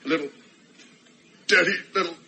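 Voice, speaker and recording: An actor playing a bitter, despairing betrayed husband in a radio play, speaking curtly and sardonically. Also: male voice, on the high side, normal speed, gravelly, bright, forceful tone, full character voice. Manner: curt, sardonic.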